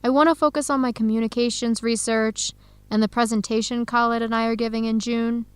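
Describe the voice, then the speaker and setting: A woman's voice: fairly high pitch, level tone, a little fast, smooth, dry recording, close to an everyday voice. An actress playing an earnest young woman student in a radio drama. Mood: resigned, determined.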